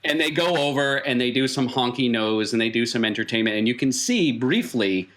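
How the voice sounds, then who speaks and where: A man improvising narration as game master of a tabletop roleplaying game, halting as he thinks aloud and repeating words for emphasis. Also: mid-range voice, lively intonation, a bit bright.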